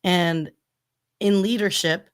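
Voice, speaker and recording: Brief: gravelly woman co-host, lowish pitch, reflective questioner. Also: steady tone, slow. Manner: reflective, asks long questions. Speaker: panel co-host. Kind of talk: livestream panel talk.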